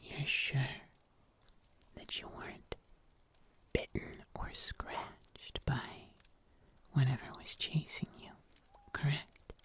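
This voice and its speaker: A man playing a gentle, solicitous huntsman healer in an ASMR roleplay, in a soft whisper with careful pauses. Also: medium pitch, flat delivery, slightly rough, heavily acted. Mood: soft concern. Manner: slow, hesitant, careful delivery with long pauses. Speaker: ASMR performer.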